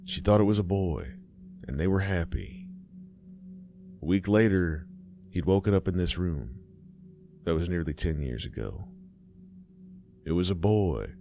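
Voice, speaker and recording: A man narrating a horror story in a slow, measured storytelling manner. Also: low voice, a little mellow, slight background noise.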